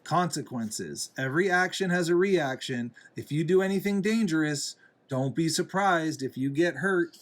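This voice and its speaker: A man, a father, reading a picture book aloud to a young child in a deliberate, gently explaining, instructive way. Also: fairly high pitch, lively intonation. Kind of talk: read-aloud storybook narration with asides to a young child.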